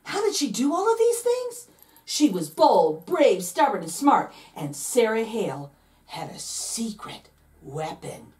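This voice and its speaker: A woman reads a children's picture book with playful, theatrical emphasis. Her voice sits fairly high and swings widely in pitch, stretching key words for drama. She sounds a little away from the microphone. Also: very animated, unhurried, a little mellow, far from the mic. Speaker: read-aloud host, storyteller. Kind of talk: expressive children's picture book read-aloud.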